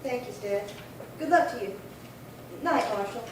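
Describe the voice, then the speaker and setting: High, gentle frontier mother played by a woman. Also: animated, measured pace. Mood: polite. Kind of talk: Western radio drama acting. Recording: echoey hall, distant mic, rumbly noise.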